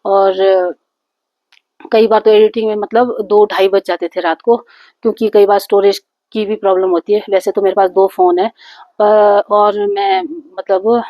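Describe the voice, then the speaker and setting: A woman's voice: medium pitch, flat delivery, moderate pace, dry recording, dull audio. Chatty Hindi-speaking woman vlogger, dry sound. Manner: chatty, rambling, with many fillers and restarts. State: sore throat from a cold. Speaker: YouTube vlogger. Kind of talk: casual chat to camera. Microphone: phone.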